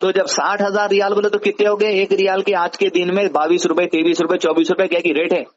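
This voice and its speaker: A man's voice: sits high, level tone, brisk. A man with a Hyderabadi accent explaining things didactically on a phone call, often asking rhetorical questions. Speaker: advisor answering a caller. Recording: dull lo-fi phone call recording.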